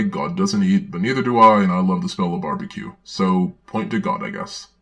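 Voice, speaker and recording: A man acts out a dark lord reading from a storybook. The voice is very low and full of gravelly creak. The delivery is measured and deadpan, with sardonic asides. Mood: deadpan mockery. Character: Darth Magog, droll dark-lord villain reading storybook aloud. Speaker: host and narrator. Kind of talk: dramatic reading with sardonic commentary.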